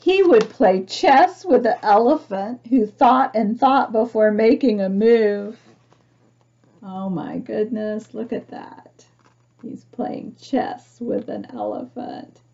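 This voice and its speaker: A woman reads a picture book aloud to young children, pausing to explain things simply and ask the listener questions. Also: medium pitch, gravelly, dark tone, husky, dull audio. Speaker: storybook reader for young children.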